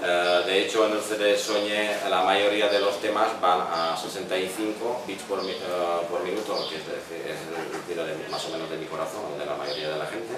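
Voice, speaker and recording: A man who is not a native Spanish speaker talks informally as a poet at a book presentation, in a halting, reflective way, searching for his words. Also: low voice, fairly even intonation, crowd noise. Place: echoey café event room. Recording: noisy, very echoey room, distant microphone.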